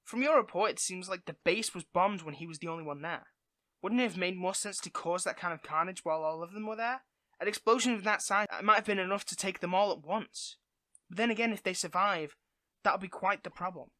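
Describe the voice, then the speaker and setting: A performer voicing a theatrical supervillain who is tender with a partner, in an intimate audio roleplay that moves between softness and grandiose menace. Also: medium pitch, brisk, no room sound, close to an everyday voice. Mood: brooding, annoyed. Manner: switches between soft affection and grandiose menace.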